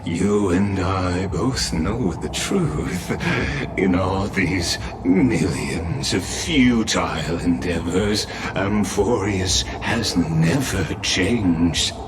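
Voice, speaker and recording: An actor playing a smug, patient game villain who taunts coolly in a theatrical, drawn-out, deliberate way. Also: male voice, deep voice, noisy background. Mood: smug mockery. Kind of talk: theatrical game cutscene acting.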